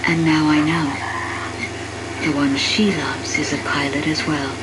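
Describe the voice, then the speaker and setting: A woman's voice: low-pitched, level tone, a little slow, very noisy. A woman playing a somber, war-weary traveler who narrates her journey reflectively in a video game cutscene, grave and introspective. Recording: loud music behind the voice. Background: music.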